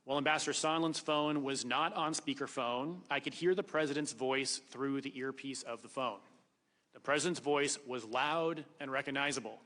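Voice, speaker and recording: A man's voice: medium pitch, pushed and bright. A man reading prepared testimony as a witness in a formal, precise manner. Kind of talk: prepared testimony read aloud. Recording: reverberant hearing room.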